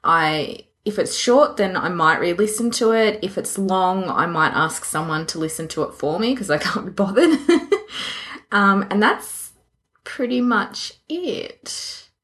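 A woman co-hosting a podcast in casual chat, self-deprecating and quick to giggle. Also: mid-range voice, a bit bright. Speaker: podcast co-host.

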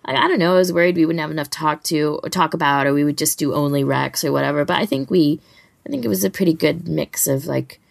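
A woman's voice: low voice, fast, vocal fry, dry recording, clean studio sound. A woman co-hosting a podcast in casual chat, with a hesitant, hedging way of putting things. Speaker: podcast co-host.

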